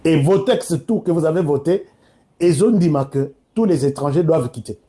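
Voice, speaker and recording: A man's voice: fairly high pitch, moderate pace, dark tone. A man from DR Congo with a Congolese accent, giving informal, emphatic political commentary that addresses listeners directly and switches between two languages.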